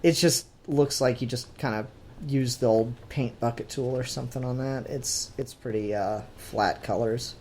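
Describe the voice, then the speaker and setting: A male podcast co-host with a mid-pitched voice, chatting through a review. He speaks conversationally and hedges as he goes, sounding like someone thinking aloud. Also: moderate pace, darkish tone, slight background noise. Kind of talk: casual comic book review discussion.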